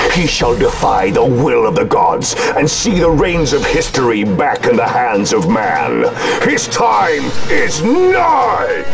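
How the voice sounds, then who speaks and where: An actor playing a grandiose, fanatical imperial villain in a video game, declaiming theatrically. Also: male voice, medium pitch, vocal fry, heavily acted. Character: grandiose fanatical male armoured imperial villain, fierce and zealous. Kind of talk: video game cutscene acting.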